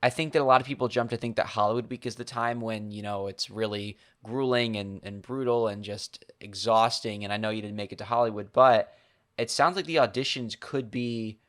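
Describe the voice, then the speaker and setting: A man hosting a podcast interview, relaxed and thoughtful, often restarting his sentences. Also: fairly low pitch, brisk. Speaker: podcast host. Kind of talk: casual interview.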